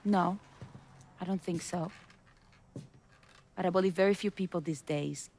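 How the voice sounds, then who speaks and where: A woman's voice: fairly low pitch, a little slow, a little mellow, slight background noise. A woman, voicing a tough smuggling boss in a video game, blunt and businesslike. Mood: earnest. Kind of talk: video game cutscene acting.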